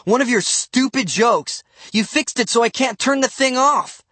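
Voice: medium pitch, slow, no room sound. A narrator voicing an angry young boy who snaps and demands in a storybook. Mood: angry, accusing. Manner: snapping, shouting, demanding. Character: exasperated, hot-tempered young boy, usually calm brother.

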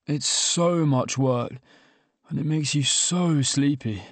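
A man's voice: fairly high pitch, slow, dry recording. A man voicing an exasperated, sardonic young man, the hapless hero of an audiobook, wry and emphatic. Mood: drowsy. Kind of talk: audiobook character dialogue.

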